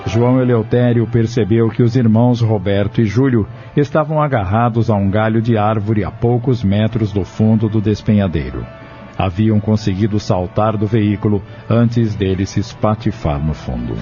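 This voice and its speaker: A man narrating a scripted audio drama in a measured, dramatic storytelling manner. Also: fairly low pitch, mellow. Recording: dull lo-fi audio with music underneath.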